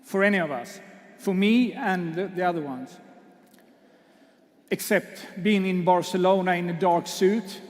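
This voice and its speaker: A man hosting an awards ceremony, joking with the audience in a halting way with many fillers, speaking English that is not his first language. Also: sits high, darkish tone. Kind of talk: speech to an audience. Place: large hall. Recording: long hall reverb.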